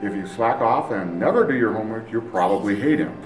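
A man's voice: lowish voice, expressive, a little fast. A middle-aged male teacher reading reviews aloud and reacting casually, recorded in a small office from a few feet away. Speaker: Spanish teacher. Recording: roomy, distant mic, faint music.

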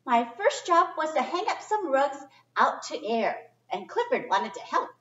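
Casting: middle-aged woman storyteller, high lilting voice, chatty read-aloud for kids, recorded from across an echoey room. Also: normal speed. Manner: expressive, chatty, simple phrasing for young listeners. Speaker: storyteller reading a picture book to children. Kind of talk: children's read-aloud with informal asides. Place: indoor library children's area. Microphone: distant camera microphone. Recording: echoey room, distant muffled camera sound.

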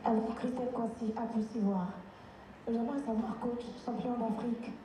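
A woman's voice: fairly high pitch, fairly even intonation, normal speed. A woman journalist asking a coach a long, winding question at a press conference. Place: large press conference room. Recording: echoey hall with noisy background.

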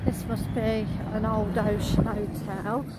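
A woman vlogger narrating a walk outdoors along a shopping street, halting as she lists what she passes. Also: medium pitch, little pitch movement. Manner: halting, listing shop names as seen. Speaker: travel vlogger. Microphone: handheld camera while walking. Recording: loud street and traffic noise.

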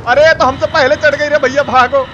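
A man with an eastern Indian Hindi accent giving casual, excitable, slangy gameplay commentary as a live-streamer over game sound. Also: very high-pitched, brisk, highs cut off. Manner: excitable, crude slangy exclamations. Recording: noisy game sound behind voice. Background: horror game sound.

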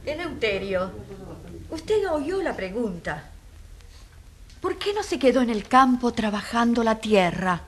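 An actress playing a solemn, compassionate narrator at a tribunal, grave and rhetorical in scripted dramatic narration. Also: female voice, fairly high pitch, lively intonation, slow, long pauses, slight background noise. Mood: firm, questioning. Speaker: narrator and moderator of a dramatized trial.

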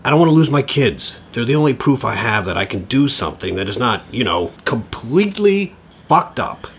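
An actor playing a flustered, needy middle-aged father in an audio play, with a husky voice and a hesitant, stammering way of talking. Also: medium pitch, very animated, moderate pace, slight background noise. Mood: anxious, dejected. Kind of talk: naturalistic audio play acting.

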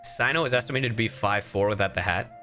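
A man's voice: fairly low pitch, fast, bright, forceful tone, faint music behind. A young man livestreaming with casual game commentary, chatty, joking and profane.

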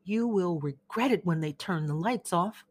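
A woman voicing a friendly young coworker, blunt and teasing. His voice is pitched lower than her usual reading voice. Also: lively intonation, moderate pace, darkish tone, dry recording, clean studio sound. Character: friendly young man, a plain-spoken coworker.

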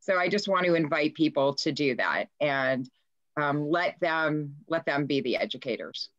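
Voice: medium pitch, expressive, moderate pace, a bit bright, no echo, dull audio. A person speaking earnestly on a video call, urging others to involve young people. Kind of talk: casual discussion on a video call.

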